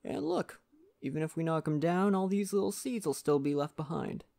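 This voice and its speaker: A man playing a friendly, folksy lumberjack in a soft-spoken ASMR roleplay, talking gently and reassuringly to the listener. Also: fairly high pitch, expressive, moderate pace, vocal fry. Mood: gentle, reflective. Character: friendly Canadian lumberjack, gentle nature-loving father.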